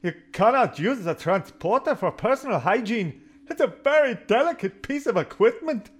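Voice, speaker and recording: An actor playing a fussy, grumbling starship engineer with an in a sci-fi parody audio drama. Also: male voice, sits high, animated, moderate pace. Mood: fussy, protective.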